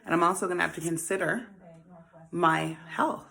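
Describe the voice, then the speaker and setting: A woman's voice: on the low side, normal speed, vocal fry, sharp tone. A woman in her forties hosting a casual talk on saving money, chatty and laughing often, calling her listeners honey and baby. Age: 40s. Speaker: host. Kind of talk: casual financial advice talk.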